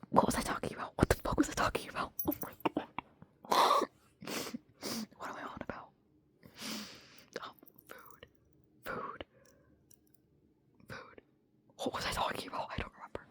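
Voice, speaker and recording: A young woman whispering a rambling late-night vlog into her phone at home, casual and full of swearing. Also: bright, forceful tone. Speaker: vlogger.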